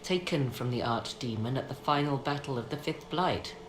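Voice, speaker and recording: A proud, guarded sorceress from a fantasy video game. Her voice is extremely low and swings widely in pitch as she speaks in formal, deliberate phrases. The recording is echoey and noisy. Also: animated. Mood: grave, troubled. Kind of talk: dramatic video game dialogue.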